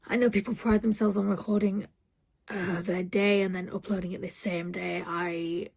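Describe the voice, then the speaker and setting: A woman vlogging at home early in the morning, talking softly and half-whispering in a croaky just-woken voice, rambling with fillers. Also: mid-range voice, steady tone, normal speed. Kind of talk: casual morning vlog chat.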